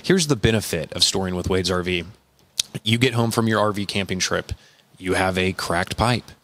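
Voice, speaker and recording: Punchy low-voiced man pitching RVs. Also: normal speed, fairly bright tone, dry recording. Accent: Oklahoma. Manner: emphatic salesman's pitch with repeated punchy phrases. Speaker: podcast co-host at an RV dealership. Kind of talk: casual promotional chat. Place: small studio set indoors. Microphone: standing studio mic with headphones.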